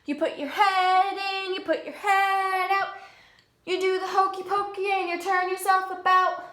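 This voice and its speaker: A high, childlike little worm boy, voiced by a woman tells his diary in simple storybook sentences. The delivery is slow and the room rings with echo. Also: flat delivery, fairly bright tone. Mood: matter-of-fact, playful. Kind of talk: children's picture-book read-aloud. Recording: echoey room.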